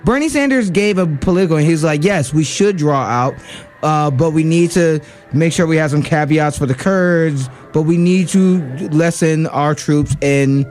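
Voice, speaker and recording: A man's voice: fairly high pitch, normal speed, darkish tone, faint music behind. A man co-hosting a radio show, giving heated political commentary with many fillers and restarts.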